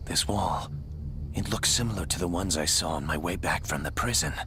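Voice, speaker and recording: Man playing a low-voiced, brooding warrior prince. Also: a little fast, background noise, lightly acted. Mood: bitter, haunted. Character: brooding haunted warrior prince, adult man, grimly determined. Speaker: video game protagonist. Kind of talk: dramatic game cutscene monologue. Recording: loud music under the voice. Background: loud music.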